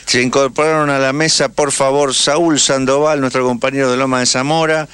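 A man's voice: mid-range voice, steady tone, fairly quick, a bit bright, clear, resonant tone, dry recording. A man with an Argentine accent hosting a casual radio talk show. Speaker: radio host.

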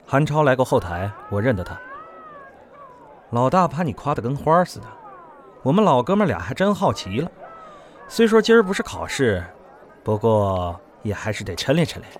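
A man acts a genial middle-aged troupe elder. His teasing, kindly lines move widely in pitch, as in a radio play. There is noticeable ambient noise behind him. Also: very animated, moderate pace, pauses often, lightly acted. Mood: teasing, curious. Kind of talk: Chinese audio drama acting.

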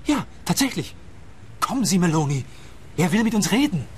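An actor playing an eager, clumsy young private detective from Germany with a German accent, pushy and flustered. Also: male voice, high voice, sing-song, normal speed, a little hiss or hum.

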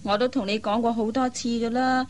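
A woman playing a kind, persuasive young elder sister in a Cantonese radio drama, coaxing and teasing in drawn-out phrases. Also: fairly high pitch, fairly even intonation, lightly acted. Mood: playful, fond.

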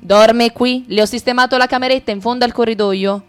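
A woman's voice: on the high side, fairly even intonation, a little fast, clean tone, lightly acted. A woman voicing a chatty middle-aged mother who bustles through a phone call and fusses over the household. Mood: cheerful.

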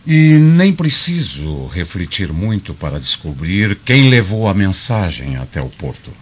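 A man acting in a radio drama as a stern, proud Roman senator and father, with an authoritative, measured delivery. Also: deep voice, big pitch swings, slightly rough, heavily acted. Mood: stern, accusing. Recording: dull lo-fi radio drama recording.

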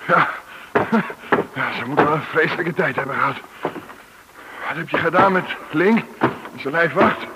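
An actor playing a weakened, breathless freed captive in a radio drama, with halting, stammering speech. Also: male voice, a little high, natural voice. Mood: weary, relieved. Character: weakened, breathless freed male captive, shaken but grateful. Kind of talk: Dutch radio drama acting. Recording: noisy old radio drama recording.

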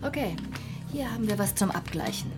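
An actress playing a brisk, take-charge woman in a crime radio drama, direct and businesslike, with music behind. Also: medium pitch, lively intonation, lightly acted. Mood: focused.

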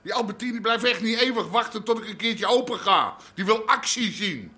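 An actor playing a harried, stubborn middle-aged crime boss and husband in a radio drama, blustery and colloquial, with an Amsterdam accent. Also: male voice, sits high, lively intonation, fairly quick, bright, forceful tone. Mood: irritated, defensive. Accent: Amsterdam Dutch. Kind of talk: naturalistic radio drama acting.

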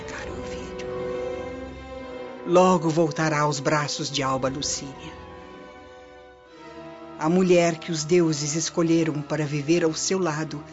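A woman acting a scheming, vengeful Roman noblewoman in a radio drama, with formal, theatrical, insinuating delivery. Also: low-pitched. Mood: sweetly consoling. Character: scheming vengeful Roman noblewoman, falsely confiding prefect's wife. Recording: muffled lo-fi recording under loud music.

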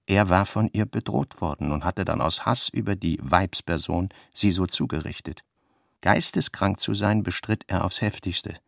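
Brooding novelist, low voice, creaky, clipped deliberate phrasing, radio play reading. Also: male voice, no echo, clean studio sound. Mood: sober, grave. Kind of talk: literary radio reading of narration and working notes.

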